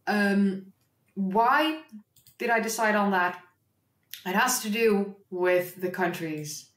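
A woman's voice: medium pitch, fairly bright tone, reverberant. A Dutch-born woman hosting a history livestream, chatting casually and reading an article aloud with rambling asides and self-corrections. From Netherlands.

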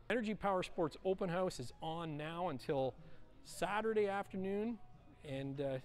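A middle-aged man giving a relaxed, chatty walkthrough of snowmobiles in a large indoor showroom, filming on a handheld action camera and filling pauses with uh and um. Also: fairly high pitch. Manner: relaxed, chatty, lots of uh and um fillers. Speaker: vlogger touring a snowmobile dealership. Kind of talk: casual walkthrough presenting.

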